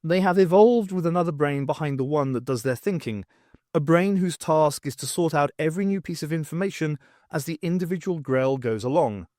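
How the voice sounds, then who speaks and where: A man reading a science fiction novel aloud as scripted, expressive audiobook narration. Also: on the high side, fairly quick, no echo, clean studio sound. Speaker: audiobook reader.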